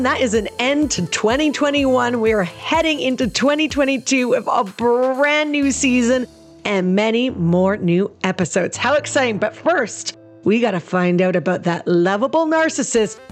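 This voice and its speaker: An upbeat woman with a fairly high voice reads a podcast's promo intro. Soft music plays behind her. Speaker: podcast announcer. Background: music bed.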